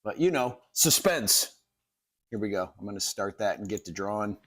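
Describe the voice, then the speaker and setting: A man's voice: fairly low pitch, animated, normal speed, a bit bright, soft background music. A man hosting a livestream with casual riffing commentary, tossing out wisecracks and mock-dramatic asides. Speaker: livestreaming cartoonist and host. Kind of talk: casual riffing commentary over old radio.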